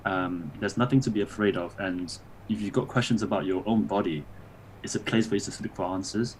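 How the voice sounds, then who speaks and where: A man born in Malaysia, a doctor guest on a podcast, explaining things in a measured way. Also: low voice, a little hiss or hum, rough recording. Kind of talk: casual conversational interview.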